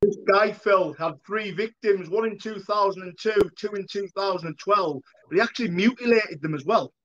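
A man's voice: high-pitched, normal speed, fairly bright tone. A British man in his thirties asking interview questions as a podcast host over a dull video call. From United Kingdom. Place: indoor room, video call.

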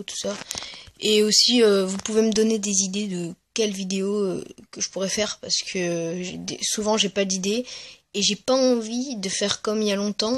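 A gaming video creator giving casual, hesitant and rambling commentary over gameplay, speaking in a medium-high voice. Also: slight background noise. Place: at home, voice-over on mobile gameplay recording.

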